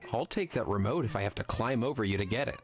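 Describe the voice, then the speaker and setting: A man's voice: lowish voice, animated, quick pace, natural voice. A man playing a sarcastic, irreverent film nerd in a visual novel game, wry and mischievous in his needling.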